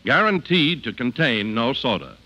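Forceful, animated male radio announcer. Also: mid-range voice, normal speed. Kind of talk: 1950s scripted radio announcing and ad copy.

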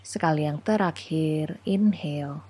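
A woman guides a meditation as an instructor. Her voice is low and dark, with a soft husky edge. She speaks very slowly and soothingly, giving each short phrase room before the next. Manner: soothing, unhurried, gently paced phrases with pauses.

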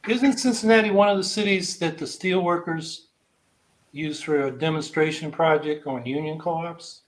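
A man's voice: a little high, moderate pace. An older man hosting a podcast, interviewing a guest over a video call, engaged and thoughtful in his questions. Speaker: podcast host. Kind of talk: casual interview on a livestream. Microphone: video call webcam.

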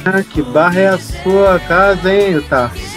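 A man playing a devoted, concerned boyfriend in an audio drama, gently asking questions. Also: sits high, unhurried, music in the background. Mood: tender, concerned. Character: devoted, concerned male lover.

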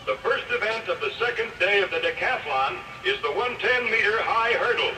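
A man's voice: high-pitched, expressive, normal speed, noisy background. A man playing a formal athletics announcer in a cartoon read-along story. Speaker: event announcer. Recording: distant, echoey old record playback with music.

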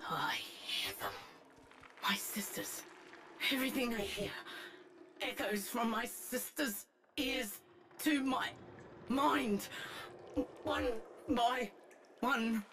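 A woman playing a haunted, grieving yet defiant young survivor in a video game, with halting, dramatic phrasing. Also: a little high, big pitch swings, background noise, exaggerated voice. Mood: haunted grief. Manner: halting, fragmented, dramatic delivery. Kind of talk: scripted video game acting. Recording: loud game music behind.

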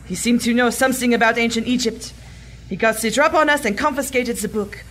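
A woman's voice: on the high side, a little fast, fairly bright tone, a little hiss or hum, lightly acted. A woman playing a haughty, ruthless villainess in a pulp adventure drama, imperious and formal. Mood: coldly gloating. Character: haughty, ruthless German Nazi villainess, archaeologist.

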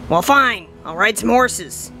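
A woman's voice: mid-range voice, expressive, slow, pushed and bright, faint music behind, pitched below the performer's own voice, full character voice. A woman voicing a defiant, sulky, mischievous panda plush toy, grumbling through a puppet skit.

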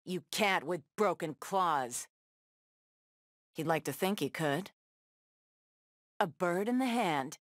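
An actress playing a tough, sardonic superheroine brawler in a video game, tossing out sassy, taunting one-liners. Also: female voice, fairly low pitch, lively intonation, slow, pauses often, no echo, studio quality. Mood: cocky, taunting. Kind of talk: video game fight banter.

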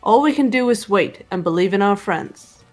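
A woman's voice: medium pitch, normal speed, close to an everyday voice. Woman playing a composed, commanding teenage girl detective. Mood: calm, serious. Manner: calm, matter-of-fact, commanding. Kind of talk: visual novel dub dialogue. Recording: background game music.

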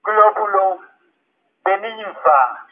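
A man's voice: high-pitched, expressive, extremely slow, narrow, tinny audio. A man reciting poetry as a reader at a public event in an outdoor park. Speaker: poet reading in public. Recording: thin, band-limited megaphone sound outdoors.

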